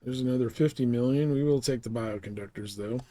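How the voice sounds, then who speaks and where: A man streaming a game with casual live commentary. His voice is mid-pitched and he talks offhandedly, half to himself. Faint noise sits in the background. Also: fairly quick, mellow.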